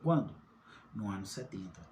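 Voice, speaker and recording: Casual online Bible teacher, male, mellow tone, keeps checking in with his audience. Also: lowish voice, animated, moderate pace, a little hiss or hum. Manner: asks rhetorical questions, checks in with "tá?". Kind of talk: informal Bible study talk.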